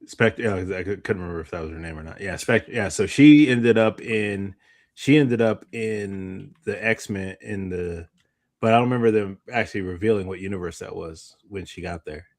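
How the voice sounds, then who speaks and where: Male co-host, fairly low pitch, informal, rambling delivery, often unsure of his point. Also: normal speed, a little mellow. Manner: rambling, unsure, searching for words. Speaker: co-host of a movie discussion show. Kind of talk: casual chat.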